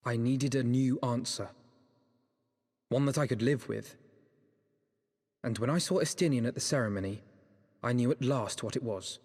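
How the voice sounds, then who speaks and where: A man's voice: mid-range voice, a little mellow, close to an everyday voice. Earnest nobleman in a reverberant hall, acted by a man. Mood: solemn, resolved. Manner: formal, solemn, measured phrasing. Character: earnest, resolute young nobleman vowing to save his friend. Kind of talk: dramatic video game cutscene acting.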